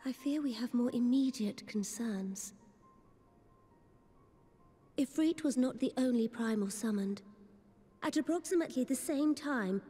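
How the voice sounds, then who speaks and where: A young fantasy game sovereign with a high, soft-edged voice. She is gracious yet resolute and speaks in formal, stately phrases, with orchestral-style game music behind her. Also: husky. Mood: grave. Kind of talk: scripted fantasy video game dialogue. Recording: long reverb, game music underneath.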